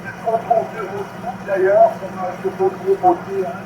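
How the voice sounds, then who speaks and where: A man chatting on CB radio in a friendly, measured way, ending his phrases with "hein" and "voilà". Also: high voice, flat delivery. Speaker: CB radio operator. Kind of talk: casual radio chat. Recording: roomy, muffled radio transmission with loud noise.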